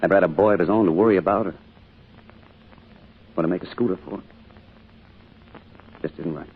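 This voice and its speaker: An actor playing a grieving, withdrawn middle-aged father in a radio drama, speaking in a weary, halting way. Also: low voice. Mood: bitter, grieving. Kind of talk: old-time radio drama acting. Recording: muffled, noisy old radio recording.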